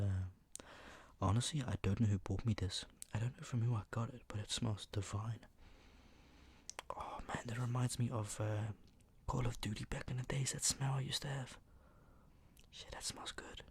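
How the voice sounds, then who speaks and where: A soft-spoken man rambling casually in an ASMR style, often dropping into a whisper. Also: lowish voice, steady tone, moderate pace. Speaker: ASMR video host. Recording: clear background noise.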